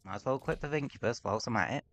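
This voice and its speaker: A man's voice: medium pitch, expressive, rapid-fire, a bit bright. A man streaming a game, talking casually in rambling, sweary asides at home. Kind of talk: casual gameplay commentary. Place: home room with window open.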